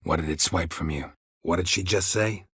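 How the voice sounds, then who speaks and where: Gruff middle-aged monster hunter, very husky rasp, low-pitched, terse, sardonic, stoic video game hero. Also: male voice, moderate pace, no echo, studio quality, full character voice. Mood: dry, questioning. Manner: terse, clipped, deadpan. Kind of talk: video game character dialogue.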